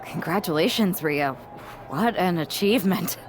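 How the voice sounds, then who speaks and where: An actress plays a wary, put-out female wrestling boss in a video game cutscene. Her pitch is on the low side but swings expressively. Clear noise sits behind the voice. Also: animated, moderate pace, close to an everyday voice. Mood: polite, probing.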